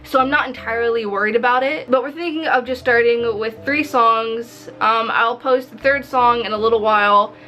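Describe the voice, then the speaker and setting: Bright, high-voiced woman chatting casually. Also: normal speed, hardly any pauses, low-quality recording. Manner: animated, expressive, self-mocking, swears casually. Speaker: visual novel developer and vlogger. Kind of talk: casual video diary chat. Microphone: recording to camera. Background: background music.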